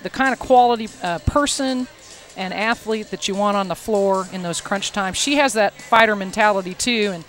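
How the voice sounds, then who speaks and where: A woman's voice: mid-range voice, normal speed, fairly bright tone, soft background music. A middle-aged woman volleyball coach speaking into a headset mic, earnest and emphatic. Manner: earnest, repeats words, emphatic. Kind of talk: postgame interview.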